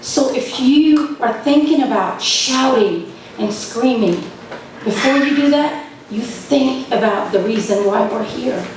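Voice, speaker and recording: A high-pitched woman chairs a political convention, firm and insistent as she calls the room to order. Her voice rings through a heavily echoing hall, picked up from a distance with steady background noise. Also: a little slow. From Afghanistan. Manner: firm, repeats thank-yous to restore order.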